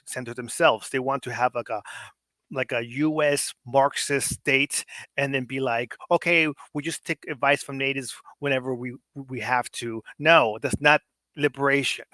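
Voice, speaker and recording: A man's voice: mid-range voice, sharp tone, dry recording. A man born in Mexico whose first language is Spanish, with a Los Angeles Mexican American accent, talking politics on a podcast in a blunt, profane, heated way with many restarts. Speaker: podcast guest. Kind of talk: casual political discussion.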